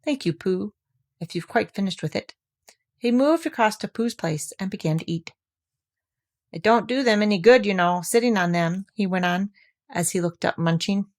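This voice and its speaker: Woman reading as a droopy, self-pitying old donkey; slow, sighing, storybook. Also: mid-range voice, close to an everyday voice. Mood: glum. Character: gloomy old male donkey, melancholy.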